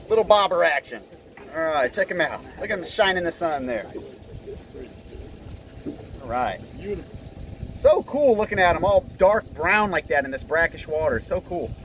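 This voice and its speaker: A middle-aged man with an American accent and a fairly high voice hosts a fishing outing. He talks casually and in a folksy, easygoing way as he works. Clear outdoor noise from the boat and water runs behind him. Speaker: fishing vlog host. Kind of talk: casual running commentary while fishing. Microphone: handheld camera or phone.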